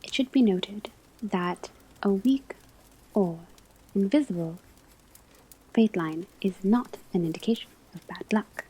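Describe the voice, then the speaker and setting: A calm witch-school divination teacher, acted out by a woman, speaks in a very breathy whisper with a soft, mellow tone. The lecture is scholarly and deliberate, with unhurried pauses between phrases, in the style of an ASMR classroom roleplay. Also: medium pitch, rough recording. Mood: calm, instructive. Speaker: ASMR performer playing a teacher.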